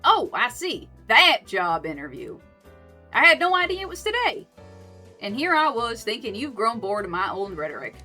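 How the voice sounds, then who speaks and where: A woman's voice: fairly high pitch, big pitch swings, moderate pace, pushed and bright, soft background music, heavily acted. A woman voicing a friendly, teasing animal mother who runs a coffee shop, with an American Southern accent and sassy, folksy banter. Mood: wry, friendly.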